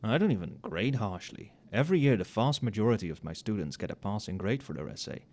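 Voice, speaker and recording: Man as tipsy male magic professor, soft-spoken ASMR, lower-pitched, very varied intonation, dry muttered asides, overworked. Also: lively intonation, quick pace. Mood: musing, tipsy.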